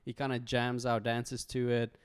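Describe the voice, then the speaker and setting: A man in his thirties with a South African accent and Afrikaans as his first language. His voice is smooth and clear, pitched in the middle. He talks in a friendly, easygoing, talkative way on a podcast. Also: monotone, normal speed. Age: 30s. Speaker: podcast guest. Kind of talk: casual chat.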